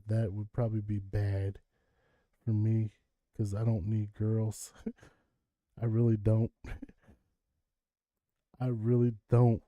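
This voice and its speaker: Dark-voiced Southern man chatting. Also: on the low side, no echo, muffled recording. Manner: rambling, chuckles often. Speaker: vlogger. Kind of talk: casual monologue.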